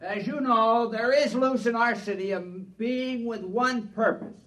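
A flustered mayor in a vintage radio play. He declaims formally in a strikingly high, bright and forceful voice. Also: a little slow, rough recording. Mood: tense, formal. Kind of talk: old-time radio drama acting.

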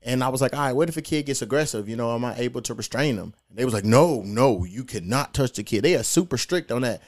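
A man's voice: mid-range voice, lively intonation, fast. A young man, hosting a podcast and telling stories in a laid-back, profane, slangy way. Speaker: podcast host. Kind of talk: casual podcast storytelling.

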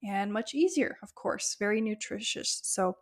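A woman hosting a solo health podcast, her voice a bit hoarse and giving out, talking casually through lists with small fillers. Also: mid-range voice, moderate pace. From United States. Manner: conversational, list-like explanations with fillers. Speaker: podcast host, gut health practitioner.

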